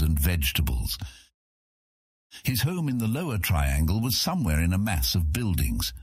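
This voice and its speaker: Man, audiobook narrator, low-pitched, husky, lively intonation, measured fantasy storytelling. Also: no room sound, clean studio sound. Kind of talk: scripted dramatic fantasy narration.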